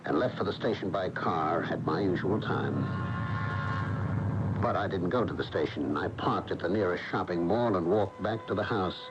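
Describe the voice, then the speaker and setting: An actor playing a jealous, coldly vengeful husband who narrates in a brooding, dramatic radio mystery style. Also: male voice, sits low, animated, normal speed, very noisy. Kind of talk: 1980 radio mystery acting, first-person narration. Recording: muffled AM radio broadcast, music behind.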